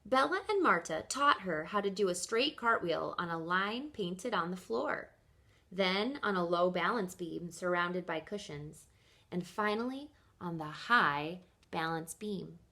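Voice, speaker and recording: Lady teacher reading a picture book to kids, slow n deliberate, bright forward voice, lots of emphasis. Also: lowish voice, animated. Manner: deliberate, storytelling emphasis for young listeners. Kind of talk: expressive children's picture-book read-aloud.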